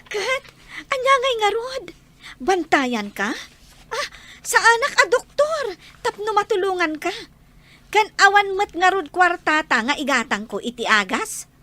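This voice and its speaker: A woman acting a sharp, exasperated working mother in an Ilocano audio drama, with an Ilocano accent. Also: extremely high pitch, sing-song, unhurried, heavily acted.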